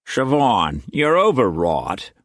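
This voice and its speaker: A man's voice: on the low side, big pitch swings, slow, fairly bright tone, dry recording, studio quality, pitched above the performer's own voice, heavily acted. A man voicing an earnest, anxious young suitor who speaks sincerely. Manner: earnest, pleading.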